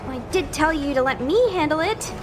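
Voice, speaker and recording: A woman voicing an eager, bossy young female knight in an anime-style game, brisk and a little scatterbrained. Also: sits high, animated, over music. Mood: brisk, concerned. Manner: brisk, assertive, informal.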